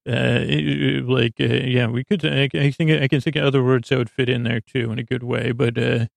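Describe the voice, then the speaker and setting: A man hosting a bedtime podcast in a meandering, halting monologue that trails off into tangents. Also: medium pitch, flat delivery, gravelly, no room sound. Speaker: podcast host.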